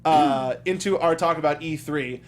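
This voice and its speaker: A man hosting a casual panel talk show, speaking in an animated, enthusiastic way. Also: fairly high pitch, moderate pace.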